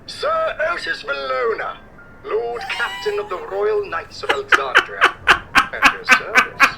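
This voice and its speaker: A man's voice: extremely high pitch, expressive, a little slow, vocal fry, over loud music, heavily acted. A man playing an ancient, gallant robot knight captain in a video game, with pompous, archaic, theatrical speech. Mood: proud, courtly.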